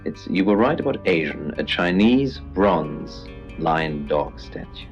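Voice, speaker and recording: An actor playing an eerie, theatrical male host of a spooky late-night radio show, speaking deliberately. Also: rumbling low, lively intonation, vocal fry, full character voice. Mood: eerie, showy. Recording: dull lo-fi radio sound over music. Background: background music.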